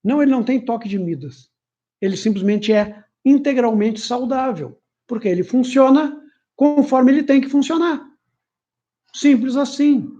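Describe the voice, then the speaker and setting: Male lecturer, high-pitched and mellow, expressive, muffled lo-fi recording. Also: normal speed. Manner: animated, mimics whiny complaints in a higher voice. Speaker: personal development teacher. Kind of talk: informal lecture to camera. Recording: muffled lo-fi audio.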